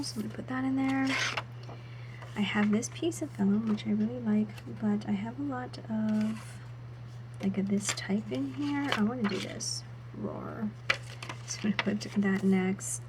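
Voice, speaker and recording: Soft-spoken woman with a slightly sore throat. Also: mid-range voice, moderate pace, noisy background. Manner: soft, half-whispered narration of each step. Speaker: planner vlogger. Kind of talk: casual voice-over while setting up a planner.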